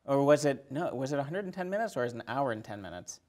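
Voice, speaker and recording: Thirties male guest for relaxed film chat; average pitch, tentative, easygoing agreement. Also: fast talker. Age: 30s. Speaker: podcast guest. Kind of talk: casual chat about films.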